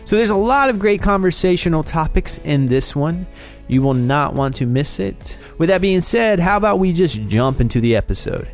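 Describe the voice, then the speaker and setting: A man's voice: on the high side, very animated, moderate pace. Upbeat male host from North Carolina. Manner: friendly, upbeat, lots of fillers and restarts. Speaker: podcast host. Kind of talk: casual interview chat. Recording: dry close sound with faint music behind.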